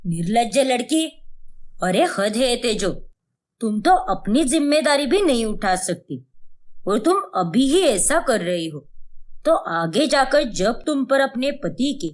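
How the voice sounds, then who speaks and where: A woman acting a stern, indignant family elder in an animated moral story, sharp-tongued and reproachful. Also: a little high, a little slow.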